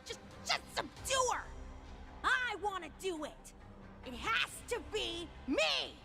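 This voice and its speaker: A woman's voice: extremely high pitch, sing-song, slow pace, vocal fry, exaggerated voice. A woman voicing a deranged, cruel pegasus mare in a fan audio horror drama, manic and taunting. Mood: frantic commanding. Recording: prominent music behind the voice. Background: music.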